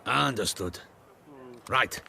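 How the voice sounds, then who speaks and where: Town guard, low forceful voice, brisk, authoritative, officious, game dialogue, faint background noise. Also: male voice, animated, gravelly, exaggerated voice. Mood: official, brisk. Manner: authoritative, formal. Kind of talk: video game voice acting.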